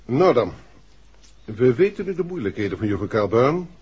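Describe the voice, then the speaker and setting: A man's voice: medium pitch, big pitch swings, moderate pace, a little mellow, slightly rough. An actor playing a businesslike, probing male police inspector in a 1960s Dutch radio drama. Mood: matter-of-fact. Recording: dull, muffled 1960s radio recording.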